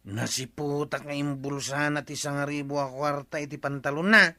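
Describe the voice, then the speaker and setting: A man's voice: a little high, fairly even intonation, normal speed, pushed and bright, no room sound, studio quality. An actor playing a villager in an Ilocano radio comedy, reporting what he saw in an Ilocano accent.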